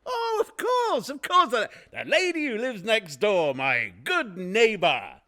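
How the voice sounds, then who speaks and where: An actor playing a nervous, guilty murderer in a radio play, stammering and repeating himself in an over-eager, ingratiating way. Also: male voice, high voice, huge pitch swings, slow, pushed and bright, exaggerated voice. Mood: over-eager welcome. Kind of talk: theatrical radio play acting.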